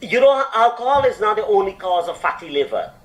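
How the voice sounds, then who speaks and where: A man's voice: sits high, sing-song, brisk. A man who is a doctor, explaining health advice on a talk show with lots of fillers and restarts. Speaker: doctor answering health questions. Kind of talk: medical advice chat.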